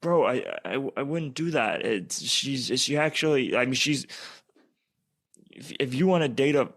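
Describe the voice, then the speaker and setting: A man playing a wheedling, trouble-prone teenage little brother in a radio play, halting and slangy. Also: mid-range voice, a bit bright. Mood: pleading.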